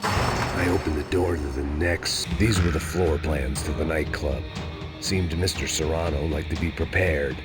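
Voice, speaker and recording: Bitter, world-weary game antihero: very low voice, terse sardonic noir monologue over clear music. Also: male voice, steady tone, moderate pace. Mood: weary, regretful. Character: weary, bitter, self-loathing male hard-drinking investigator narrating. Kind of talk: noir scripted narration.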